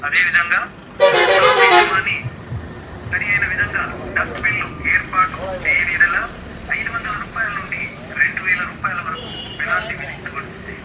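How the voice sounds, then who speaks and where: A man reading a scripted public service announcement in a formal, instructive manner, played over a street loudspeaker. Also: high voice, expressive, normal speed. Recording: noisy street loudspeaker playback, telephone-like.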